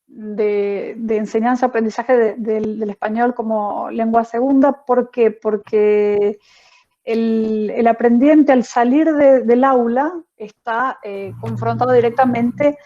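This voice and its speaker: A woman teacher answering a question on an online panel, thoughtful and pausing often with fillers. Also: on the high side, steady tone, muffled recording. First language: not French. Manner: thoughtful, many hesitations and fillers.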